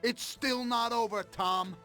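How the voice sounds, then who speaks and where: A man's voice: extremely high pitch, fairly even intonation, takes it slowly, pushed and bright, soft background music, exaggerated voice. An actor playing a smug, cold-blooded mobster in a game cutscene, mocking and sardonic as he menaces an old partner. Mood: taunting. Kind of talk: dramatic video game cutscene acting.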